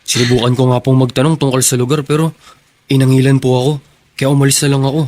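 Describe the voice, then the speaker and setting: A man acts a dutiful young son in a radio drama. His medium-pitched voice moves quickly and stays fairly flat, polite and earnest. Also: dry recording, natural voice. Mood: earnest, worried. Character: dutiful, respectful young son reporting to mother. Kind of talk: Filipino radio drama acting.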